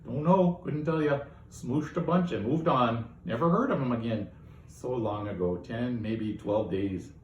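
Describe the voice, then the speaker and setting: A man's voice: medium pitch, unhurried, roomy sound, distant mic, lo-fi sound, a little hiss or hum, close to an everyday voice. A man voicing a chatty, folksy old alien woman who rambles and calls people dearie. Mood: gossipy.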